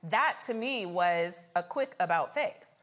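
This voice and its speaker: Woman panel host, slightly low voice, informal, wry, conversational political talk. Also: lively intonation, measured pace, a bit bright. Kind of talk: conversational moderating of a news panel.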